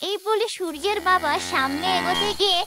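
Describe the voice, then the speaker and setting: A woman's voice: very high-pitched, fairly quick, over music. A woman giving scripted narration for a children's fairy-tale cartoon.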